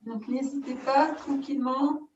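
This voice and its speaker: A woman yoga nidra instructor giving calm, unhurried guided relaxation in short phrases with pauses between them. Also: high voice, slight background noise. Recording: distant mic, dull muffled sound.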